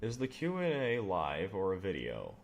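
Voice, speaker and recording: Brief: young man, very deep voice, rambling live-stream chat, faint typing behind. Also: wildly swooping pitch, moderate pace, muffled recording, a little hiss or hum. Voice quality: says he has a loud voice. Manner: rambling, answers chat questions, self-amused. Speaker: game developer streamer. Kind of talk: casual live-stream chat while working.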